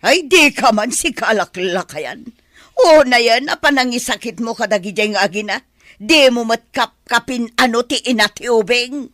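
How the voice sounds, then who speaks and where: An actress playing a callous, defensive older wife in a radio drama, with an Ilocano Filipino accent. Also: female voice, fairly high pitch, expressive, normal speed, a bit bright, dry recording, clean studio sound.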